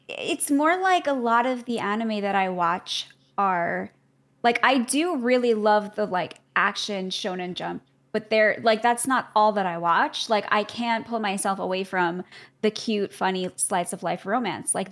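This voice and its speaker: A young adult woman talks casually as a guest on a chat stream. She rambles, circling back with "like" and restarts, in an ordinary middle-pitched voice. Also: a bit bright. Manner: chatty and rambling, heavy use of "like", quick to laugh.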